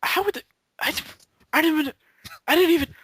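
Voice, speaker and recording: A man's voice: extremely high pitch, fairly quick, a bit bright, no room sound. A young man in a casual group chat, bantering in a geeky, exclamatory way as he reacts to videos. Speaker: member of an online gaming video group.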